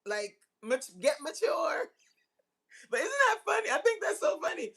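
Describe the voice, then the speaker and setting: A woman's voice: high voice, sing-song, moderate pace, a bit bright. A woman in her forties chatting casually with live viewers as host, slangy, teasing and giggly in manner. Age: 40s. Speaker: livestream host. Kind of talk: casual chat with live viewers reading comments.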